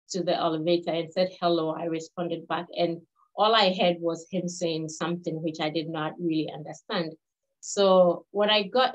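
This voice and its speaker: A woman in her fifties from Ghana, English not her first language, giving a webinar talk in a measured way with pauses and restarts. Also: on the low side. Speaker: health researcher presenting. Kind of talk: webinar presentation. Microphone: video call. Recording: dull lo-fi webinar audio.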